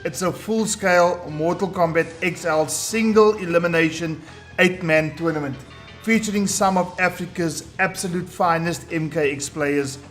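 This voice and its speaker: A man with a booming voice hosting a live tournament stream, hyped and talking straight to his chat. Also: normal speed, a bit bright. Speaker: tournament host. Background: faint background music.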